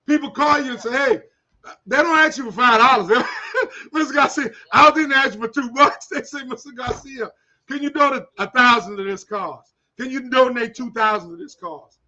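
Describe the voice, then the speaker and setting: A man hosting a talk show, praising his guest with warm repetition and stumbling restarts. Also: extremely high pitch, normal speed, highs cut off. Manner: effusive, repeats words, praising guest. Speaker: show host. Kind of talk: casual interview chat.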